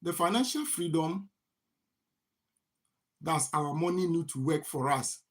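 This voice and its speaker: A man's voice: a little high, unhurried, fairly bright tone. A man from Ghana who hosts a farming channel. He gives a motivational talk on finance, repeats phrases, and asks rhetorical "what?" questions that he then answers himself.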